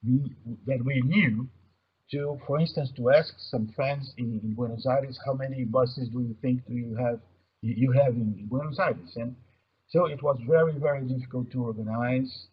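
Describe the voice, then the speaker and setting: A very husky, breath-roughened man speaks with a Brazilian accent, as a native Portuguese speaker. He explains his material in a halting webinar presentation, often repeating words. The audio is somewhat muffled and lacking in treble. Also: medium pitch. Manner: halting, repeats words while searching for phrases. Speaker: webinar presenter, mobility observatory coordinator. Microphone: online webinar connection.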